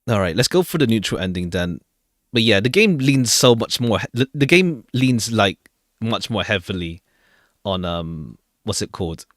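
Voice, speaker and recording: Low-voiced, animated male game streamer. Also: normal speed, no echo, studio quality. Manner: rambling, repeats phrases while thinking aloud. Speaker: streamer playing a visual novel. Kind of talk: casual game commentary. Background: game music.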